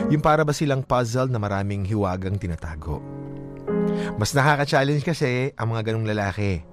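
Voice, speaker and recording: A lovestruck Filipino storyteller read by a man confides a romance in scripted radio-drama narration. The delivery is dramatic and animated, rising and falling widely over a clear music bed. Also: mid-range voice, natural voice. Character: lovestruck, self-assured letter writer telling a romantic story.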